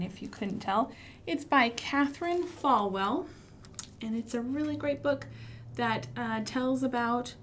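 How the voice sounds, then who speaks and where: An American woman leading a storytime for young children in an expressive, sing-song way, with gasps and hushed emphasis. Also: fairly high pitch, slight background noise. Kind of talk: children's storytime with singing, rhymes and asides.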